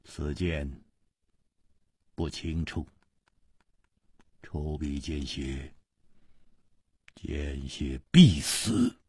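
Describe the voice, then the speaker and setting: A man voicing a cold, contemptuous swordsman in a martial arts story, with deliberate, menacing delivery. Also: rumbling low, steady tone, darkish tone, slightly rough, dry recording, clean studio sound, heavily acted. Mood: quiet menace.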